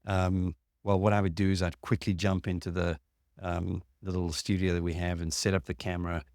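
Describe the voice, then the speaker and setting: Deep-voiced man explaining calmly. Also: no echo, clean studio sound. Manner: measured, explanatory, with frequent fillers. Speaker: podcast host and clinician. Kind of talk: casual conversational explanation.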